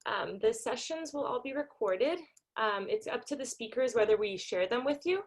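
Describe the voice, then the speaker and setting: A woman's voice: a little high, lively intonation, moderate pace. Woman hosting a casual video-call welcome. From Denmark, raised in Singapore and the US. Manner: informal, many ums, small laughs. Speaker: conference organizer and host. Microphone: computer microphone on a video call.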